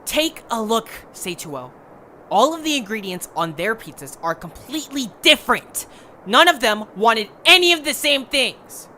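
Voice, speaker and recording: Lady doing a bossy irritable creature guy, high bright voice, swoops around, scolding, audio drama. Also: big pitch swings, normal speed, slight background noise. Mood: scornful, lecturing. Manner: scolding, blunt, impatient. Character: bossy, irritable, scornful male creature, group leader. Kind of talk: amateur audio drama acting.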